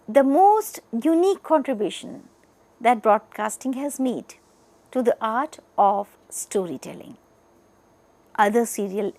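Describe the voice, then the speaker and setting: A woman with an Indian accent delivers a scripted educational lecture, speaking in deliberate, emphatic phrases with pauses. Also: a little high, a little mellow.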